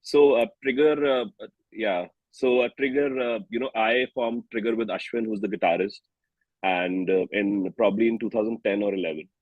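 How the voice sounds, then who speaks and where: Low-voiced Indian man, slightly husky. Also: steady tone, moderate pace, no echo, phone-quality sound. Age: 30s. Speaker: singer-songwriter and musician interview guest. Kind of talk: casual interview chat.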